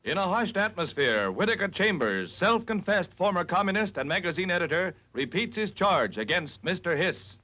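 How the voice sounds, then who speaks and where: A man's voice: high-pitched, sing-song, normal speed, few pauses, pushed and bright, slight background noise. A man with an American accent narrating a 1940s newsreel in a dramatic, declamatory scripted style. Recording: dull lo-fi 1940s newsreel soundtrack. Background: newsreel music.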